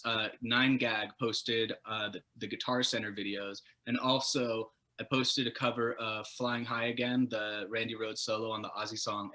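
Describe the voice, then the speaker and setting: A man with a bright, forceful voice pitched a little low, chatting as a musician being interviewed. He rambles casually and animatedly, with a self-deprecating, sweary looseness. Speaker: guitarist in a band, interview guest.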